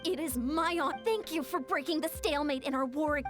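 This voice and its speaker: A woman playing a young female warrior in a video game, frank and dutiful, explaining things in an earnest, measured, formal way. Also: high-pitched, natural voice. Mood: respectful. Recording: faint music behind the voice.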